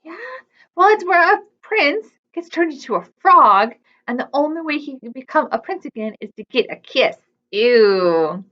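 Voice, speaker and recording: A woman's voice: high-pitched, big pitch swings, moderate pace, muffled recording. A woman hosting a children's storytime, reading aloud expressively and chattily putting questions to young listeners. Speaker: children's library storytime host.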